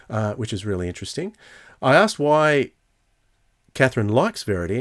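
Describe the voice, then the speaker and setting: A man hosting a podcast, chatting casually and thinking aloud as he goes. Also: medium pitch. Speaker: podcast host.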